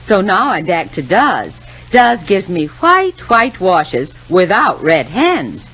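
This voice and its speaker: A woman delivers a 1950s radio testimonial with measured emphasis. Her voice is fairly low and bright, and she takes her time with lively intonation. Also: breathy edge. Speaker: commercial spokeswoman.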